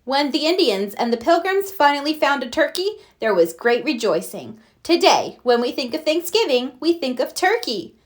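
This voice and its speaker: A woman's voice: sits high, very animated, moderate pace, few pauses, pitched above the performer's own voice. A woman voicing a bossy, self-assured schoolgirl in a children's storybook. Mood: declaiming.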